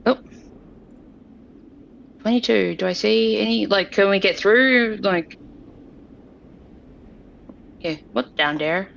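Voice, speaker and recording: A woman's voice: medium pitch, slow pace, gravelly, long pauses, a bit bright. A woman chatting casually as a player in a tabletop role-playing game. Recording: dull, noisy home recording.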